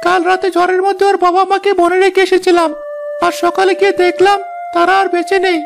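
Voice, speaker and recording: A magical flying horse from a cartoon fairy tale, gentle yet firm. The voice is extremely high and creaky, held very flat in melody, with music playing behind. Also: male voice, fast. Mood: sorrowful, respectful.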